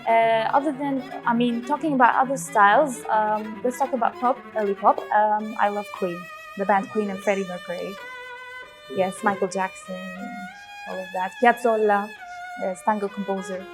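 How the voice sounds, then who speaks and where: A woman in her twenties, born in Syria, a cellist and teacher speaking in a relaxed interview, with many pauses and fillers. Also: medium pitch, rough recording. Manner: hesitant, many fillers, warm about music. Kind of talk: relaxed personal interview answers.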